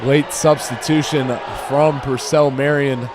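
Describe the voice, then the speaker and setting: A young American man giving live basketball play-by-play in short, clipped calls that rise to excited shouts. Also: medium pitch, slow pace. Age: young adult. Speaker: play-by-play commentator. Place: indoor high school gym during a game. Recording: noisy gym with loud crowd. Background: crowd noise, bouncing basketball.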